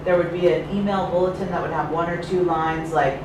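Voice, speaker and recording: Low, even-voiced woman in very echoey room. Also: brisk. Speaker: reporter. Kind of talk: asking questions at a press meeting. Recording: very echoey, distant mic, noisy room.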